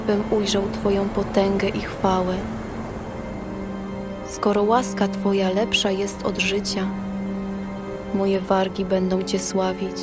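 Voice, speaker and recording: A woman's voice: medium pitch, close to an everyday voice. A woman voicing a devout psalmist longing for God in a prayerful scripture reading. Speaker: actor in an audio Bible. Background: music.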